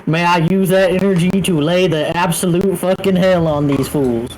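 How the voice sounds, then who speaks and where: A man's voice: on the high side, unhurried, a little mellow, a little hiss or hum, poor audio. A man playing a tabletop game, bantering casually and playfully with free swearing and whoops.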